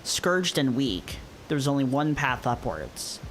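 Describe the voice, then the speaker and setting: A man playing a brooding, dazed visual novel narrator in a solemn, measured dramatic reading, with some background noise. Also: medium pitch, very animated, a bit bright. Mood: solemn, grave. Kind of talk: dramatic literary read-aloud narration.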